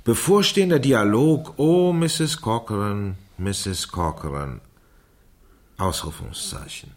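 A man's voice: lowish voice, animated, slow pace. An actor playing an astute man in a German radio crime drama, wry and theatrical in his emphasis. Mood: wry, reading out.

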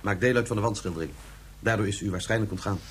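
A dutiful soldier in a science fiction radio play, acted by a man. The voice is low and quick, with formal official phrasing. A faint hum and noise sit on the recording. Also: lightly acted. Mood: formal, obedient. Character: dutiful male soldier reporting to his colonel.

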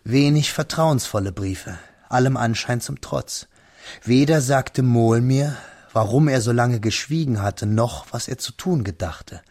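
A man playing a reflective young man who narrates his melancholy past in measured, literary radio drama style. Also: medium pitch, darkish tone. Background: music.

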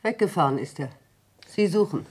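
An actress playing a shaken, plain-spoken country woman in a German radio play, speaking in a halting, subdued way with a husky voice. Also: lowish voice, lively intonation, a little mellow. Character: shaken, subdued country woman reporting her sister's death.

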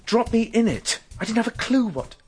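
An actor playing an anxious, polite young fiancé in a radio drama, with an earnest, hesitant manner. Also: male voice, very high voice, sing-song, normal speed, darkish tone, faint music behind. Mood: anxious, irritated. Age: young adult.

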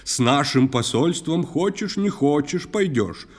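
A man's voice: fairly high pitch, lively intonation, measured pace, darkish tone. An actor playing a scornful, hot-tempered male water spirit in an audio play, taunting theatrically in verse. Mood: mocking, threatening. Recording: long cavernous reverb.

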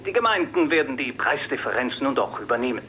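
A man's voice: mid-range voice, big pitch swings, fast, bright, forceful tone, a little hiss or hum, low-quality recording. A man playing an evasive, officious community board official in a radio drama, formal and diplomatically hesitant.

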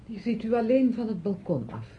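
Earnest young niece, lively intonation. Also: female voice, a little high, brisk, breathy edge, heavily acted. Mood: polite, reluctant. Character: polite, earnest young niece showing someone around town. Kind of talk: radio drama acting. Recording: dull, faintly noisy old radio play recording.

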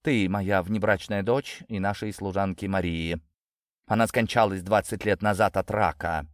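Man playing a stern, indignant older father, animated. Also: on the low side, normal speed, no room sound, studio quality. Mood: reluctant. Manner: curt, condemning, commanding.

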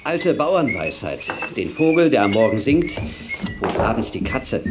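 An actor playing a brisk, teasing, mildly stern fatherly man in a children's radio play. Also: mid-range voice, sing-song, over music. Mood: teasing, concerned. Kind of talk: 1980s German children's radio play acting.

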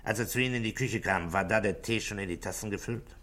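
Actor as deep, sharp-voiced shrewd police inspector. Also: male voice, natural voice. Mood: probing. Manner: deliberate, insistent questioning. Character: shrewd male police inspector, methodical, grave, probing. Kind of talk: 1960s German radio drama acting. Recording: vintage 1960s radio studio recording.

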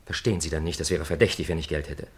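A resigned male captive in a fifties radio play, very deep and husky. He talks in terse, rapid, pressing bursts. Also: steady tone. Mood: resigned, urgent. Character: weary, resigned male captive refusing help. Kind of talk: 1950s German radio drama acting.

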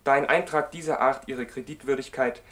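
A man playing a formal, politely threatening lawyer reading out a measured letter in a German radio play. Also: mid-range voice, steady tone, fairly bright tone, close to an everyday voice. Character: smoothly threatening, formal male collection lawyer.

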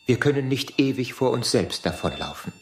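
A man playing a reasonable, conciliatory space traveler in an audio drama, speaking in a measured, earnest way. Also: mid-range voice, animated, slight background noise, lightly acted. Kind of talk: scripted audio drama acting. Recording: echoey room.

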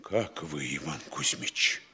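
An actor playing an anxious, scheming town mayor with a husky voice, in a stage radio play. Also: male voice, extremely low pitch, animated, slow, sharp tone, full character voice. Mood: fretful. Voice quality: husky, fretful, conspiratorial.

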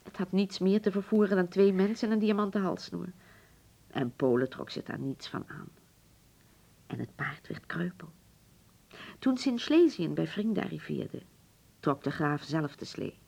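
Husky, low-voiced genteel hotel proprietress. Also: female voice, expressive, warm tone. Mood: grave, dramatic. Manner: formal, stately storytelling with dramatic pauses. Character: genteel, nostalgic Berlin hotel proprietress of the old school. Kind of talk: Dutch radio drama acting.

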